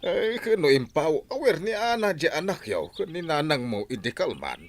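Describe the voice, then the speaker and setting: A man's voice: fairly high pitch, big pitch swings, normal speed, slight background noise. An actor with an Ilocano Filipino accent playing a grumbling, stingy middle-aged village husband and father in a radio drama, blunt and exclamatory.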